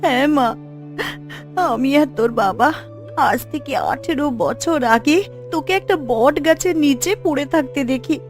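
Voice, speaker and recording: A sorrowful village mother from a cartoon, acted by a woman, speaks in a high voice. She is tender and pleading, with faint music underneath. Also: expressive, normal speed. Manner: pleading, emotional. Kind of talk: Bengali cartoon voice acting.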